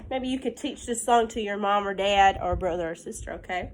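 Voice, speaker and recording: A woman's voice: mid-range voice, brisk, rough recording. A woman teacher talking and reading aloud to young children, explaining things simply with little checking questions, sounding a bit congested from pollen. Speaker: elementary school teacher.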